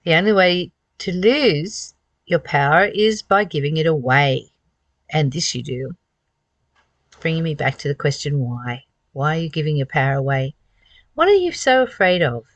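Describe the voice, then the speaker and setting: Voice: medium pitch, animated, normal speed, dry recording. A host reading aloud from a spiritual book and commenting on it, earnest and emphatic, with repeated phrases and rhetorical questions.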